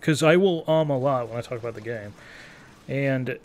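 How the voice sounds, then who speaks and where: A man's voice: mid-range voice, normal speed, a little mellow, a little hiss or hum. A man in his thirties giving casual, rambling gameplay commentary as a YouTuber, in an easygoing, take-it-or-leave-it manner. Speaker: gaming YouTuber.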